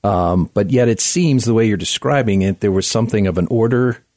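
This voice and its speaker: A man hosts a talk radio show, moving the conversation along with leading questions. His voice is low and warm. He is heard through a dry, close studio mic. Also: brisk, no room sound. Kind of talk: radio interview.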